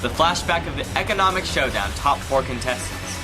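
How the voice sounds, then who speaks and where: A woman's voice: rumbling low, lively intonation, brisk, music in the background. A woman narrating a comedy school project, reading textbook passages aloud.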